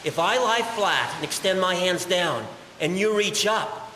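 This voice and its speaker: A male actor plays a kindly passer-by turned rescuer in an audio drama, urgent yet reassuring. His voice is high for a man, with long reverb and clear hiss around it. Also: expressive, a little slow, sharp tone, full character voice.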